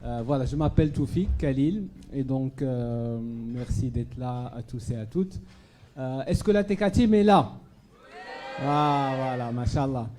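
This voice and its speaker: A man's voice: medium pitch, measured pace, warm tone. A man raised in Morocco giving a charity speech to a dinner audience, hesitant, full of fillers and restarts. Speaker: charity speaker at a fundraising gala. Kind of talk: public speech to a live audience. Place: banquet hall at a gala dinner.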